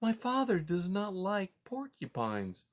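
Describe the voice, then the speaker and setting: A man voicing a gentle young female mouse who speaks softly and earnestly. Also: high voice, huge pitch swings, unhurried, voice pushed up, exaggerated voice. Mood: pleading. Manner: soft, pleading.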